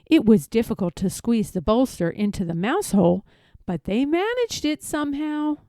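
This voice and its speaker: A woman reading a children's story aloud in an expressive, measured, emphatic storytelling manner. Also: on the high side, dry recording, studio quality. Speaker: storybook reader.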